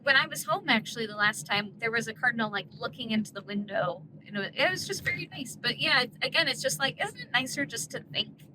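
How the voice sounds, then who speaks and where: Female guest, playful and bantering; high voice, noisy car. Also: animated, fairly quick. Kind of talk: casual chat. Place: inside a car.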